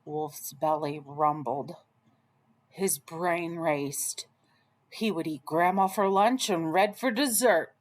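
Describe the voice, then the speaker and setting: A woman reading a children's story aloud as an expressive storyteller. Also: low-pitched, slow pace.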